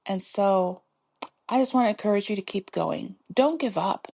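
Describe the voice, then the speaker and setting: A woman's voice: medium pitch, expressive, moderate pace, gravelly, darkish tone. A middle-aged woman hosting her own podcast, talking in a soothing, encouraging way and laughing often as she urges listeners to keep going. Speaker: podcast host. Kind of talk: motivational solo podcast talk.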